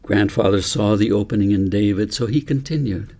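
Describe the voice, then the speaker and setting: A man narrating a story for an audiobook in measured, deliberate short phrases. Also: lowish voice, dark tone. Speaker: author reading own book aloud.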